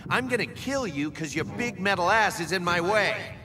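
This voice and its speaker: An American actor playing a cocky, irritable, foul-mouthed young assassin in a video game, with slangy delivery. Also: male voice, animated, normal speed. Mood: irritated. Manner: brash, slangy, exasperated. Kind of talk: video game cutscene acting. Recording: game audio with hall reverb, rumbly noise.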